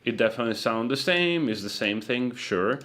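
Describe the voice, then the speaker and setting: A man giving a casual, loosely phrased software tutorial as a sound designer. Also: medium pitch, lively intonation, moderate pace, very noisy.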